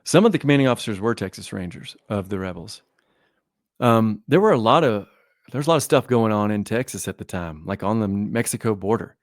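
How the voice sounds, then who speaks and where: A man's voice: lowish voice, animated, brisk, dry recording, studio quality. A man who is sick and losing his voice, talking through history in a rambling, self-correcting way during a casual podcast interview. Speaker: guest historian.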